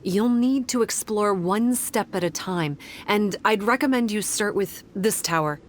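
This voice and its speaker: A woman playing a composed, analytical guide in a fantasy game, speaking in a measured, formal, explanatory way. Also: mid-range voice, a little hiss or hum, lightly acted. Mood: composed, serious. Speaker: game character giving quest briefing. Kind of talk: scripted game dialogue.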